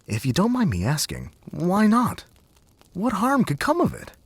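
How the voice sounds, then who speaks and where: Man playing young storybook cat, polite, hopeful, raised pitch, lilting. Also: huge pitch swings, normal speed, gravelly, slight background noise, full character voice. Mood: hopeful, cautious.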